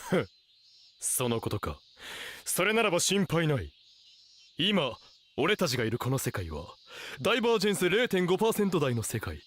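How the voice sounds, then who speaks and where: A man's voice: fairly high pitch, wildly swooping pitch, normal speed, a little hiss or hum. A man playing a pompous, theatrical young self-styled mad scientist in an anime radio drama.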